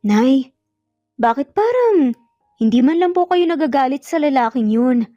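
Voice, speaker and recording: A woman's voice: sits high, lively intonation, measured pace, warm tone, dry recording. A woman voicing a stubborn, hurt young wife with a curt manner in an audio romance. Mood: puzzled. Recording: muffled lo-fi audio, music bed behind.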